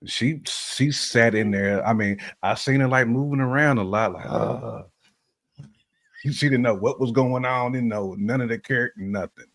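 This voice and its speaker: An American man chatting casually about relationships as a show host, telling stories in animated, slangy language. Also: medium pitch, a little slow. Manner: animated storytelling with slang and hypothetical examples.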